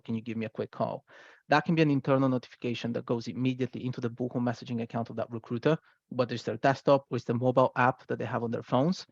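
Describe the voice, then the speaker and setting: A man's voice: mid-range voice, a little mellow, no echo, muffled recording. A man giving a product presentation in an explanatory, conversational way, checking in with listeners as he goes. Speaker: software presenter.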